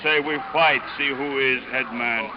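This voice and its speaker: A man's voice: medium pitch, steady tone, measured pace, natural voice. An actor playing a hostile, proud island headman in a 1940s radio drama, speaking blunt, commanding broken English. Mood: challenging. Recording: noisy, muffled old radio recording.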